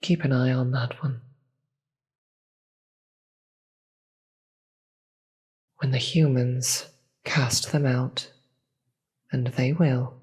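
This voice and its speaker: Casting: demon queen for audio drama, acted out by one performer, very breathy and husky, slow, commanding yet weary. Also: medium pitch. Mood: weary, regretful. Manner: slow, deliberate, grave. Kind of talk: dramatic audio roleplay acting.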